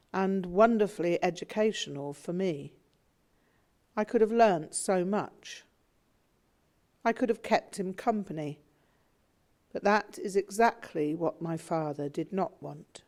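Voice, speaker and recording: A woman narrating a memoir audiobook in a measured, reflective way. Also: fairly low pitch, mellow.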